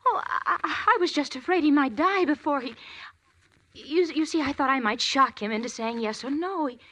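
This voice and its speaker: Nervous, highish-voiced widow. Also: female voice, bright, forceful tone, no echo. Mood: flustered, evasive. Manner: halting, flustered, stammering. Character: nervous, evasive widow pleading with a detective. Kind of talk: 1940s radio detective drama acting. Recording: old 1940s radio broadcast.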